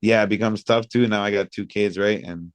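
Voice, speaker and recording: A man in his thirties from Hawaii, answering interview questions in a thoughtful, measured way with many pauses. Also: lowish voice, steady tone, dry recording. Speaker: guest, reggae singer. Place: outdoors by a pool among high-rise buildings.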